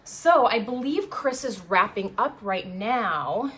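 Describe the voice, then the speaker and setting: A woman's voice: mid-range voice, expressive, a bit bright. Chatty sniffly woman streaming from home. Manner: chatty and self-deprecating off air, brisk and upbeat on air. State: a little sniffly. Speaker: TV traffic and news presenter. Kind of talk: casual live stream chat and on-air traffic report. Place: apartment dining room. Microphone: phone.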